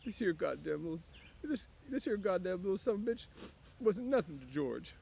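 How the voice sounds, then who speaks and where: Man voicing a high-pitched, childlike big simple-minded man. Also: sing-song, fairly quick, gravelly, dark tone, a little hiss or hum, heavily acted. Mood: angry. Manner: plaintive, uneducated folksy phrasing.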